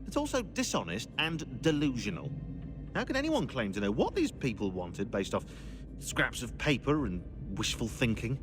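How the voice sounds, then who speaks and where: An actor playing a sardonic, opinionated historian in a video game, speaking in a dry, indignant, emphatic way. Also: male voice, mid-range voice, big pitch swings, normal speed, lightly acted. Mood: scornful, indignant. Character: sardonic, opinionated historian ally, scornful of politicians. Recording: music behind the voice.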